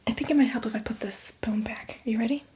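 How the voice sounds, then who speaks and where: A woman's voice: on the high side, steady tone, quick pace, mellow, a little hiss or hum, lightly acted. A woman playing a hardcore, teasing zombie mentor in a whispered, coaxing ASMR roleplay with playful asides. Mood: coaxing, reassuring.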